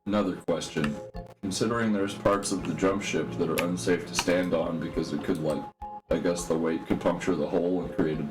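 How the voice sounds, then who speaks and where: A man with a low voice speaks as a player in a tabletop game. His talk is casual, and music is clearly audible behind him. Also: fairly even intonation, brisk, poor audio. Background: background music.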